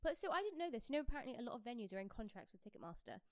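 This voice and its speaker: A woman's voice: on the high side, sing-song, rapid-fire, no room sound, studio quality. A British woman co-hosting a podcast, chatting casually and expressively about pop culture. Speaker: podcast co-host.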